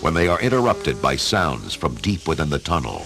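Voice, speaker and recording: Male storyteller, very low voice, lively dramatic inflection, scripted narration, music underneath. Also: moderate pace. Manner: theatrical, storytelling.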